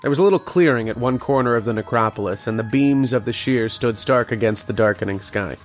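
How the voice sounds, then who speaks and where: A man's voice: medium pitch, brisk, soft background music, natural voice. A man playing a learned, patient archaeologist who explains and narrates in an old-time radio horror drama style. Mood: uneasy, brooding. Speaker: lead actor and narrator.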